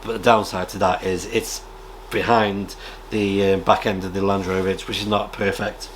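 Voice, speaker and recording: A British man chatting casually as a radio hobby vlogger, rambling in a halting way and often clearing his throat. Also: low voice, level tone, a little hiss or hum. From United Kingdom. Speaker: amateur radio vlogger and presenter. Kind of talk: casual rambling chat, reading out viewer comments.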